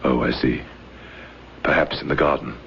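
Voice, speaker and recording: An actor playing a brooding, embittered older general in a radio drama, grave and world-weary. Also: male voice, rumbling low, fairly even intonation, moderate pace, creaky, fairly bright tone, exaggerated voice. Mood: guarded, civil. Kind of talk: old-time radio drama acting. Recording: noisy, dull vintage radio recording.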